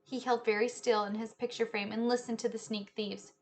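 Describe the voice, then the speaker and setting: A woman in her thirties reading a children's story aloud in an expressive, animated way, indoors on a webcam. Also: fairly high pitch, brisk. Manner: animated, hushing and swelling with the story. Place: small living room, indoors. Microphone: webcam at desk distance.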